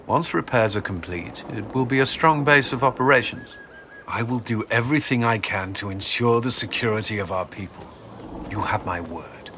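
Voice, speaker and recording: An actor playing an earnest, dutiful military commander in a video game, firm and formal. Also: male voice, fairly low pitch, normal speed, bright, forceful tone, slight background noise. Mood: earnest, reassuring. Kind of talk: dramatic video game acting.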